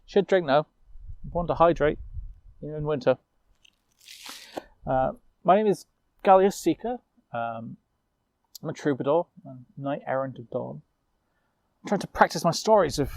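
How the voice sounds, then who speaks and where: A male troubadour-style storyteller with a fairly high voice, recorded very dry. He speaks informally and a little hesitantly, easing into measured fantasy narration. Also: expressive, vocal fry, a little mellow, no echo.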